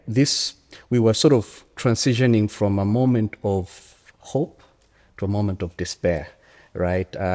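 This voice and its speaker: A man's voice: low voice, warm tone. A man in his early forties with a Zambian accent, giving reflective, emphatic interview answers as an academic and often repeating phrases. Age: early 40s. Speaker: interview guest, economics academic. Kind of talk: conversational interview answer, storytelling. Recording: echoey hall with long reverb.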